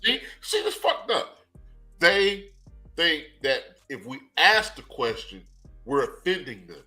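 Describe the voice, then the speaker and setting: Animated male co-host, bright voice, big pitch swings, joking. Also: slow, soft background music. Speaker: podcast co-host. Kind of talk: casual chat.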